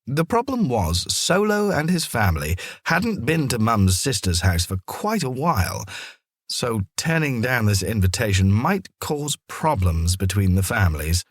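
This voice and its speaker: A man narrates a scripted story in an expressive, measured way. His voice sits fairly low with a husky grain and moves through lively intonation. The sound is very dry. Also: dry recording. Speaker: storyteller, audiobook reader.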